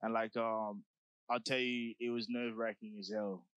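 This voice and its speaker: Young man from South Sudan, rapper guest, lowish voice, laid-back slangy talk, dry close mic. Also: level tone, normal speed, fairly bright tone, smooth, no echo, highs cut off. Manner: laid-back, slangy, lots of "like" and "you know". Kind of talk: casual interview.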